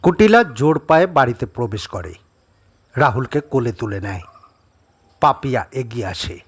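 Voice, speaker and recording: A man narrates a scripted horror story. His voice is mid-pitched and very husky. The reading is dramatic, with animated swings in pitch. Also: moderate pace.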